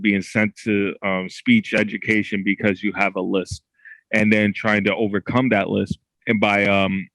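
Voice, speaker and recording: Low-voiced halting podcast guest. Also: male voice, a bit bright, no echo, low-quality recording. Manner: halting, frequent restarts and "like". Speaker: podcast guest, comic creator. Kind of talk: casual interview chat.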